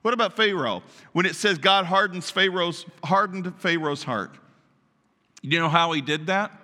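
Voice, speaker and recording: Loud preacher guy, high sharp voice, keeps asking the crowd questions, big church reverb. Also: normal speed. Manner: naturally loud, emphatic, asks the congregation many questions. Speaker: pastor. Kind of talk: sermon. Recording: long reverb of a large hall.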